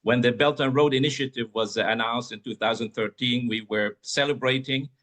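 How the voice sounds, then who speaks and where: Iraqi-born guy on a panel, stops and starts a lot, mid pitch. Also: fairly even intonation, fairly bright tone. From Iraq. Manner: halting, hesitant phrasing. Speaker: panelist, geopolitical strategist. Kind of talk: panel discussion.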